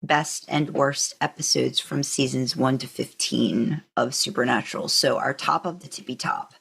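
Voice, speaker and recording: A woman's voice: rumbling low, fairly even intonation, normal speed, vocal fry, fairly bright tone, slightly rough. A woman co-hosting a podcast in casual, conversational chat. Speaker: podcast co-host.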